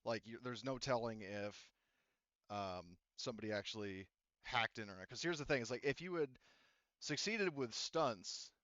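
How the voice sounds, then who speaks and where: A man's voice: medium pitch, normal speed, fairly bright tone, no room sound, lo-fi sound. A man acting as game master, explaining rulings and story in a rambling way with lots of fillers. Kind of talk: narrating a tabletop game.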